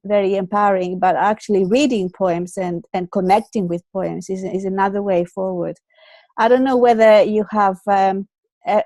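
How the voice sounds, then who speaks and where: High-voiced Greek woman hosting, effusive. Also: darkish tone, no echo. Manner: effusive, hesitant, repeats words and phrases. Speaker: show host. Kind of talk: casual talk show hosting.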